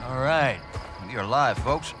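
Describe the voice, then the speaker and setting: An actor playing a cocky, menacing American mercenary commander with a Southern accent and a smug, folksy manner in a game. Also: male voice, medium pitch, a little slow, music in the background, close to an everyday voice.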